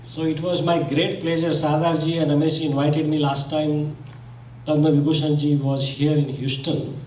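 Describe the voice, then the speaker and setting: A man's voice: fairly high pitch, little pitch movement, normal speed, mellow, a little hiss or hum. A man from Zambia whose first language is Gujarati, giving an earnest memorial speech and restarting his phrases as he goes. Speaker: speaker at a memorial meeting. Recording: echoey hall, mic from far off.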